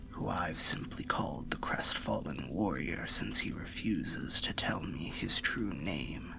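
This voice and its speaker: A man voicing a weary, kindly old undead warrior who rambles gently in a breathy, gravelly half-whisper, like a fantasy ASMR storyteller. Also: sits low, exaggerated voice. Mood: musing, cautionary. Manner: slow, rambling, archaic, gently cautionary storyteller. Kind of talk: ASMR fantasy roleplay monologue.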